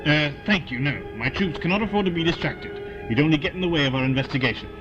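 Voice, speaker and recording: A man's voice: a little high, animated, fast, lightly acted. A man playing a stern, suspicious army colonel in an audio drama, clipped and commanding. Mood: skeptical. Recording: dull lo-fi with loud music behind.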